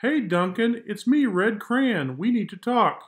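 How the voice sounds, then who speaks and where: A man voicing an overworked, exasperated red crayon from a storybook, complaining with drawn-out emphasis. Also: high voice, lively intonation.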